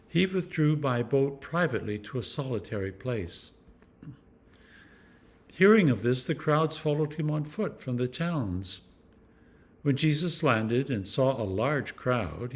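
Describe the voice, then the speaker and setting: Male scripture reader, clergyman, mid-pitched voice with animated intonation, measured dramatic storytelling. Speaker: clergyman reading scripture for a church meditation series. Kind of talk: expressive scripture reading.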